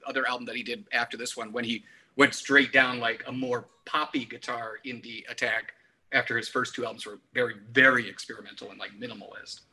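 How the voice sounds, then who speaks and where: A man's voice: medium pitch, little pitch movement, a little fast, bright, forceful tone, slightly rough, low-quality recording. A man chatting casually about music as a podcast panelist, in an opinionated, enthusiastic manner. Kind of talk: casual album discussion.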